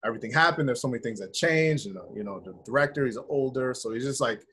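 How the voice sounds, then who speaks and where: A middle-aged American man with a husky voice, talking informally as an interview guest on a video call. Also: mid-range voice, animated, quick pace, fairly bright tone. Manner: informal, conversational, peppers talk with "man" and "you know". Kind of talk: casual interview chat. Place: indoor room with bare wall, video call.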